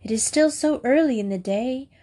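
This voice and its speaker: A woman's voice: high voice, normal speed, mellow, pitched above the performer's own voice. Woman playing an innocent little storybook girl. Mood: cheerful. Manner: sweet, earnest. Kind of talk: storybook character voice.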